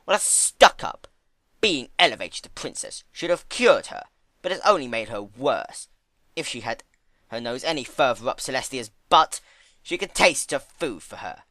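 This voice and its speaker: A man's voice: mid-range voice, sing-song, normal speed, bright, forceful tone, no echo, pitched above the performer's own voice. A man voicing a sardonic, pompous male trickster creature in a dramatic storybook reading, with a dry, mocking manner. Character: sardonic, theatrical male draconequus, chaotic trickster. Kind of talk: dramatic fan fiction reading.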